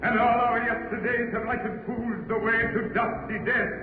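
An actor playing a grand, solemn orator in a 1930s radio drama, theatrical and declamatory. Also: male voice, very high voice, little pitch movement, normal speed. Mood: tragic, theatrical. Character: imagined grown son as grand orator and professional man. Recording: tinny 1930s radio broadcast with music.

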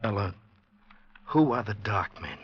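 Anxious romantic hero, low pitch, stammering earnestness, 1940s radio drama, dull vintage recording. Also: male voice, lively intonation, measured pace, breathy edge. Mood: gently concerned. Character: nervous, lovestruck male poet in hiding, earnest and frightened. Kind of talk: 1940s radio drama acting with diary narration. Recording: muffled old radio recording with music.

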